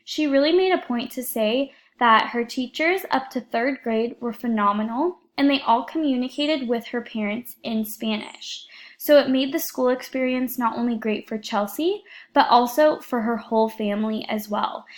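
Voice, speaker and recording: A woman's voice: on the high side, moderate pace. A young woman, an education student, earnestly reading a prepared report for her class, with a few fillers.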